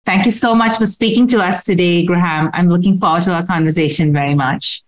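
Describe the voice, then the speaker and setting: Lower-voiced woman podcast host. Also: steady tone, fairly quick. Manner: formal read intro, hesitant filler-heavy questions. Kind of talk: scripted introduction then casual questions.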